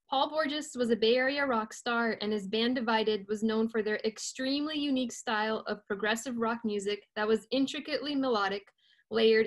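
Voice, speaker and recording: A woman reading her own poem over a video call, earnest and steady. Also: a little high. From United States. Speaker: poet.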